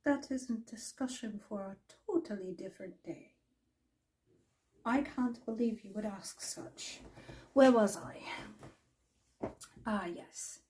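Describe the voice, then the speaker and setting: Woman playing a slow, imperious magical storyteller woman. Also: medium pitch, a little mellow, a little hiss or hum, close to an everyday voice, low-quality recording. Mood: mysterious. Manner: theatrical, haughty, deliberate dramatic pauses. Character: imperious, mischievous older female magical storyteller in a fantasy world. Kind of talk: in-character fantasy storytelling roleplay.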